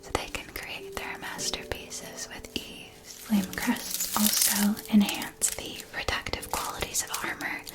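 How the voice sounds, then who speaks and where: A woman playing a kindly potion-supply shopkeeper in a scripted fantasy ASMR roleplay, speaking in a soft, breathy whisper with deliberate, gently explanatory delivery. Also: medium pitch, completely flat delivery, natural voice. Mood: soothing, helpful. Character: kindly female shopkeeper of magical witch-school potion supplies.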